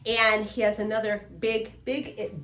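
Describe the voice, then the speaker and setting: A woman's voice: on the high side, level tone, a little slow, a bit bright, a little hiss or hum. A woman in her fifties co-hosting a casual chat, rambling with many restarts and fillers. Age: 50s. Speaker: co-host, mother. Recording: echoey room, faint music.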